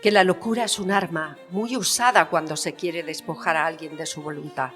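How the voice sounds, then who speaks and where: Audio-fiction queen, played by a woman: proud, bitter, emphatic, lower register, big reverb with strings behind. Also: fairly even intonation, moderate pace, a bit bright. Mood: solemn, defiant. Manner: emphatic, haughty, theatrical. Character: proud, bitter, passionate Spanish queen, defiant and indignant. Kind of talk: dramatised historical interview, audio fiction. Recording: long reverberant hall sound. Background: violin music.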